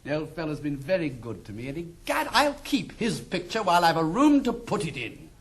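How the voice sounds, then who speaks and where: Breezy young english gentleman rake, high voice, swoops all over, very theatrical. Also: sing-song, fairly quick. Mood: stubborn. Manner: flamboyant, jaunty, theatrical. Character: carefree, spendthrift young English gentleman, good-natured rake. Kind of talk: classic stage comedy acting.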